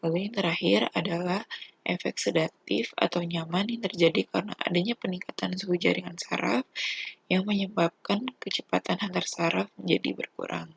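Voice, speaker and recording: A woman's voice: lowish voice, steady tone, brisk, creaky, breathy edge. A young woman with an Indonesian accent giving a formal, methodical student presentation. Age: young adult. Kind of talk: student presentation explaining a topic.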